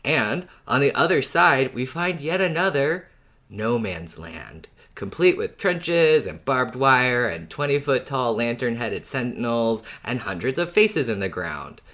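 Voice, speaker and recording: A man's voice: mid-range voice, sing-song, unhurried, pushed and bright. A man hosting a fan podcast, chatting playfully in jokey, mock-dramatic bro slang. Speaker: podcast host.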